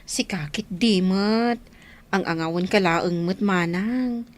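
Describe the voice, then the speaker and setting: A woman's voice: mid-range voice, slow, lightly acted. A woman playing a supportive, affectionate younger sister in an Ilocano radio drama. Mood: cheerful.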